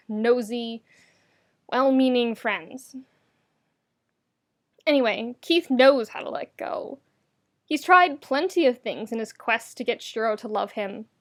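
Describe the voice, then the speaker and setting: A woman narrating fan fiction as an audiobook, with a wry, emphatic delivery. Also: fairly high pitch. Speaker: podfic reader.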